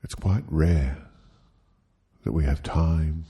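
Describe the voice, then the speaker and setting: A man leading a guided sleep hypnosis, speaking soothingly and gently in short, halting phrases with long pauses. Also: rumbling low, slightly rough.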